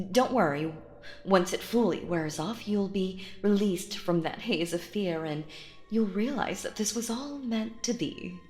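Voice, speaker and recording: Slow, sinister woman magician played by an actress, echoey. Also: mid-range voice, close to an everyday voice. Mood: soothing, possessive. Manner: cooing endearments, slipping into breathy menacing whispers. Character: sinister, possessive yandere woman stage magician, sweetly menacing. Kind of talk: intimate audio roleplay, theatrical monologue.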